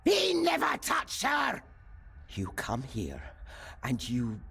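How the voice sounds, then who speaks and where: A bitter, haughty matriarch from a video game drama. Her husky voice swings in pitch as she cuts people down with imperious authority. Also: huge pitch swings, slow pace, a bit bright, heavily acted. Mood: angry, defensive. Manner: imperious, cutting. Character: haughty, bitter, defensive aristocratic family matriarch.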